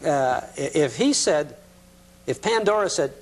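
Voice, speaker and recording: A man's voice: fairly high pitch, expressive, a little slow. A man hosting a talk show, interviewing in a casual, chatty way with some hesitant phrasing, on a noisy recording.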